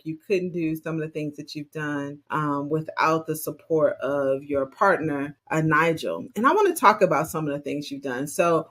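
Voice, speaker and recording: A woman hosting an interview podcast, talking in a chatty, enthusiastic way and laughing often. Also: deep voice. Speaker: podcast host.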